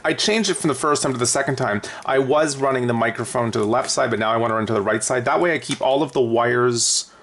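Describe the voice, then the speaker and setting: A man's voice: medium pitch, quick pace, hardly any pauses, fairly bright tone, a little hiss or hum. A man talking casually and unscripted through a hands-on how-to as an aviation vlogger.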